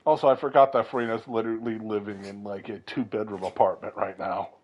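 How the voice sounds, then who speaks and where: A man's voice: fairly low pitch, fairly even intonation, quick pace, muffled recording. A man giving casual reaction commentary as he streams a story-driven video game.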